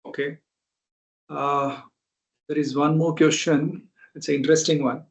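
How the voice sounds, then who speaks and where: A man's voice: on the high side, level tone, measured pace, vocal fry. A man with an Indian accent moderating a conference call and reading questions aloud. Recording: dull, lo-fi conference call audio.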